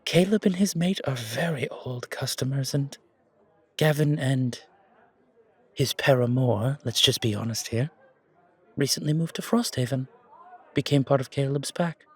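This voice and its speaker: Man voicing wry male fantasy coffee shop owner, theatrical, formal, slow delivery, smooth, audio roleplay. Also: mid-range voice. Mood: warm, confiding. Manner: theatrical, deliberate, formal phrasing.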